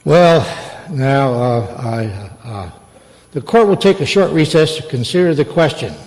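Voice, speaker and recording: A man's voice: medium pitch, animated, a little slow, a little mellow. A man acting a flustered, hesitant judge in an old-time radio play. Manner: hesitant, hemming. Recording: reverberant hall, faint noise.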